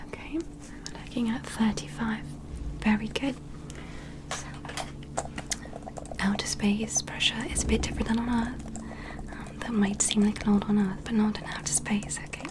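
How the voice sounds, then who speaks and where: A woman playing a polite, meticulous alien doctor in a whispered ASMR medical roleplay, gentle and methodical, often checking with questions. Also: a little high, flat delivery, normal speed, long pauses, natural voice. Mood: calm, attentive.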